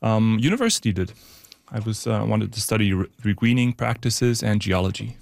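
A man who grew up in Romania and speaks in a measured, hesitant way. His voice is gravelly and sits low. The recording is dry and close. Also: expressive, no echo. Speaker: studio guest.

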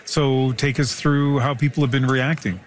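A male news anchor, introducing stories and passing to reporters in a measured way. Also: medium pitch, level tone. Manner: measured, with occasional fillers. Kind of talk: newscast anchoring.

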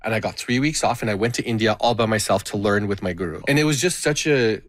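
A man in his thirties, born in Canada with Gujarati as his first language, speaking as a guest about his life, reflective and halting with frequent restarts. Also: fairly low pitch, fairly bright tone, no echo, studio quality. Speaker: guest, tabla player and educator. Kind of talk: interview conversation.

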